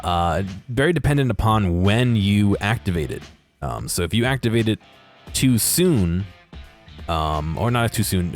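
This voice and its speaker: A man giving casual, unscripted commentary over game footage in a halting, explanatory way, correcting himself as he goes. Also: sits low. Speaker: video creator narrating game footage.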